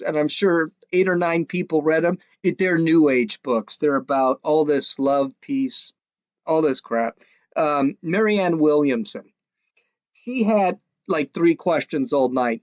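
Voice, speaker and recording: A man's voice: fairly high pitch, dry recording, dull audio. A man hosting a podcast, ranting casually in a mocking, scoffing way, rambling with frequent restarts. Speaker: podcast host. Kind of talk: casual opinionated rant.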